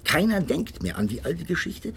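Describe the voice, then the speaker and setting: A man's voice: fairly high pitch, very animated, normal speed, fairly bright tone, slight background noise. A man acting the earnest, inquisitive companion of a detective in a radio drama, pondering and questioning. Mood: piecing together.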